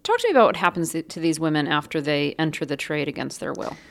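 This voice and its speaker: A woman hosting a radio interview show, asking measured, probing questions. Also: deep voice, sing-song, gravelly. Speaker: podcast host.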